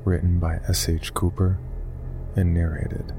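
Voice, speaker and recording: A man's voice: low-pitched, completely flat delivery, unhurried, music in the background. A man reading scripted announcements as a podcast host, close to the mic.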